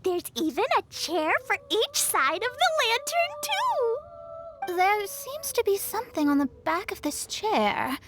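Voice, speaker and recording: A woman voicing an earnest, expressive young fortune-teller in an anime game. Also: very high voice, measured pace, faint music behind, exaggerated voice. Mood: curious.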